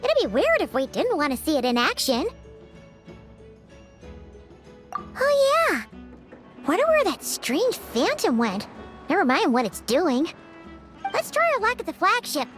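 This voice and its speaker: A woman voicing a chirpy, excitable little fairy girl companion in a video game, bubbly and theatrical. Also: very high-pitched, moderate pace, soft background music, exaggerated voice. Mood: cheerful, curious. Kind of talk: animated video game dialogue.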